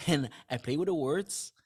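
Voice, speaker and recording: A man's voice: a little high, takes it slowly, a little mellow, slightly rough. A man whose first language is French, a guest on a podcast, talking casually in simple words and often restarting his phrases. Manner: thinks aloud in simple words, with frequent restarts and light laughs. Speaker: podcast guest, dentist and author. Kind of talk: casual interview conversation.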